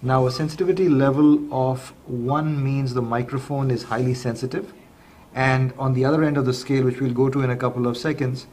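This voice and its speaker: A man presents a step-by-step product demonstration in a measured, explanatory way. His voice sits at a medium pitch. He is recorded indoors at a table, with some noise in the recording. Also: a little mellow. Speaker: presenter of a radio tutorial. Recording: clearly noisy room recording.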